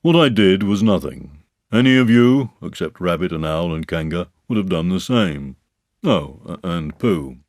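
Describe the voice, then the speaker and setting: A man voicing a gloomy, self-important donkey in a storybook reading, speaking in a ponderous, drawn-out way. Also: sits low, lively intonation, a little mellow, no echo, studio quality. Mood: pompous, solemn. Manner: ponderous, pompous, drawn-out delivery. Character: gloomy, self-important, long-suffering old grey donkey.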